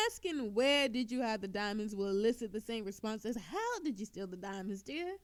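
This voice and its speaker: A woman's voice: fairly high pitch, expressive, moderate pace, close to an everyday voice. A woman playing an obnoxiously flirtatious, sarcastic female thief in a scripted audio roleplay, teasing coyly and theatrically. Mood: teasing, flirty.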